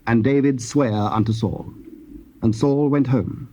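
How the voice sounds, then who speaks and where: A man narrating dramatized scripture in a formal, solemn and measured storytelling style. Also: medium pitch, warm tone. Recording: muffled, hissy old cassette tape.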